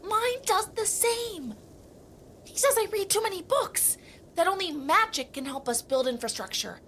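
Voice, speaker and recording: Shy gentle troll boy, extremely high voice, lively swoops, stammering, family audio drama. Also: a little slow, slight background noise, heavily acted. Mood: earnest, wistful. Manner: hesitant, stammering, earnest. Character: timid, bookish young male mountain troll, huge but gentle.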